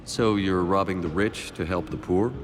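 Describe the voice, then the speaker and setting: A man as a very deep-voiced, steady commander. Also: moderate pace, big-room sound, over music, natural voice. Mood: calm, wry. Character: male military commander, steady, wry, supportive human soldier. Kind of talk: video game dialogue acting.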